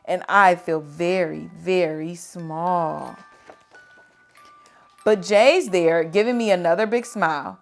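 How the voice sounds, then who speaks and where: A woman reading children's picture books aloud in an expressive, dramatic and deliberate storytelling style. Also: lowish voice, gravelly, soft background music.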